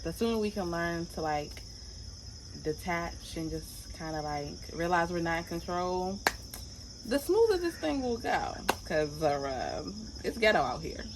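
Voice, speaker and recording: A woman's voice: on the low side, big pitch swings, unhurried, background noise. A woman in her mid-twenties speaking frankly and laid-back in casual chat. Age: 20s. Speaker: interviewee.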